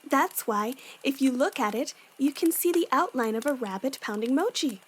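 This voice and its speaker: Woman as a high-voiced, no-nonsense young warrior woman. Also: expressive, normal speed, slight background noise. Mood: gentle, storytelling. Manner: firm, matter-of-fact. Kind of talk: fan audio drama acting.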